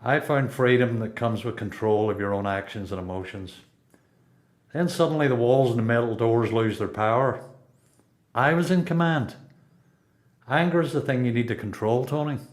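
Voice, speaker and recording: A man with a Northern Irish accent acting a reflective, self-controlled prisoner in a radio play, speaking in a measured way. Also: mid-range voice, natural voice.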